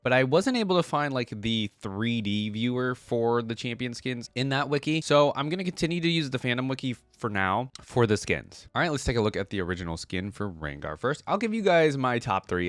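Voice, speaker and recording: A man's voice: medium pitch, a bit bright, dry recording. A man hosting a reaction video, giving casual, informal commentary and thinking aloud as he goes. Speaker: video host reacting to game content.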